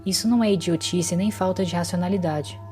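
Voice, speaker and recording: A woman's voice: fairly low pitch, a little fast, a little mellow, lightly acted. A woman voicing a frightened young man who defends himself tensely, over music. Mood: defensive. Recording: music bed behind voice.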